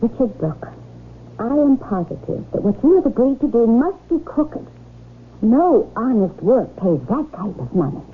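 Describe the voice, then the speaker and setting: An actress playing a sharp-tongued, suspicious young English wife in a radio drama, speaking in a wry, pointed way. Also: female voice, on the high side, very animated, measured pace. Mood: incredulous, firm. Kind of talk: old-time radio drama acting. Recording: tinny old radio broadcast, faint noise.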